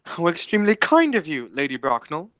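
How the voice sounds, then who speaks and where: An actor playing a formal, dryly polite Victorian gentleman guardian in a radio comedy, speaking with measured emphasis. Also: medium pitch, wildly swooping pitch, no room sound. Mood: dry, pointed. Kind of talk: stage comedy as amateur radio play.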